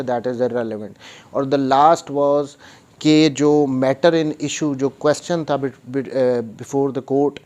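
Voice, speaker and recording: A man's voice: medium pitch, darkish tone. A man lecturing on law in a methodical, explanatory way, switching between two languages and repeating key points. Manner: code-switches between Hindi and English. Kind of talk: explanatory law lecture, reading provisions then explaining them.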